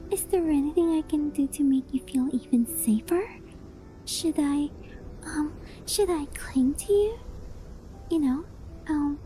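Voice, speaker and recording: A woman's voice: high-pitched, a little hiss or hum, low-quality recording. A woman voicing a doting, clingy fox-spirit wife in an intimate ASMR roleplay, coy and hesitant, often slipping into whispers. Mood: shy, hesitant. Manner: coy, hesitant, affectionate, softly breathy with whispered phrases. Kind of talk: ASMR roleplay, intimate sleep-aid monologue.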